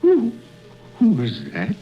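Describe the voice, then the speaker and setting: A muffled radio-drama recording with music underneath carries an old miser. He swings widely in pitch and takes his time, stammering and repeating himself in a quavering, fearful, regretful way. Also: huge pitch swings, slow pace, heavily acted. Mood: frightened, pleading. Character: frightened, remorseful old miser revisiting his youth.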